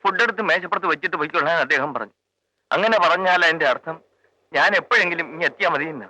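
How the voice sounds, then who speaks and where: A man's voice: on the high side, fast, pushed and bright, no room sound, low-quality recording. An older actor playing a frightened old male servant being questioned, in a 1980s film drama with a pleading manner. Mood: nervous.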